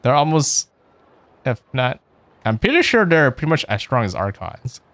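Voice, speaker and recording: A man's voice: medium pitch, big pitch swings, moderate pace, a bit bright, slight background noise. A man hosting a casual video game let's play, chatting in a rambling way with fillers and small chuckles. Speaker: virtual streamer playing a video game.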